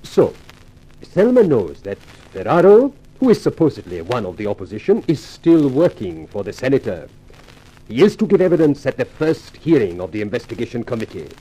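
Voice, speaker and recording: Suave racketeer, lilting, mellow, husky. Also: male voice, mid-range voice, big pitch swings, poor audio. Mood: coolly explanatory. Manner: formal, measured, urbane phrasing. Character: suave scheming racketeer, European adagio dancer, coolly courteous. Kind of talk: 1950s radio drama acting.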